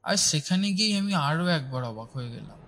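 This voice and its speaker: A man's voice: on the high side, animated, brisk, fairly bright tone, a little hiss or hum. A man reading dramatic scripted first-person narration for a horror story, with music behind him.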